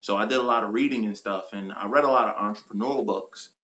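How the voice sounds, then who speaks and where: A man's voice: on the low side, fast talker, a bit bright, highs cut off. A man in his thirties speaking as a livestream guest, earnest and reflective. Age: 30s. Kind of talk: casual live-stream chat.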